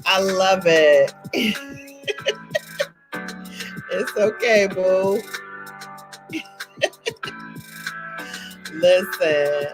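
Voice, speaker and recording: A woman's voice: mid-range voice, very slow, long pauses, poor audio. A woman from Brooklyn with a Brooklyn accent, hosting a casual livestream, chatting and laughing a lot with her viewers. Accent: Brooklyn New York. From Brooklyn, New York. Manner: chatty, laughs often, greets viewers by name. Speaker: livestream host. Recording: faint music behind.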